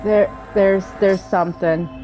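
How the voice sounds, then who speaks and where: A woman's voice: mid-range voice, steady tone, unhurried, over music, rough recording. A woman giving casual let's-play commentary, thinking aloud as she plays and talking to the game's characters. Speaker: gaming streamer narrating her play.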